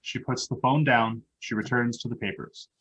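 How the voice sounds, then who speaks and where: A man reading out stage directions as scripted narration for a play, with a husky voice. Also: mid-range voice, fairly even intonation, normal speed, fairly bright tone, lo-fi sound.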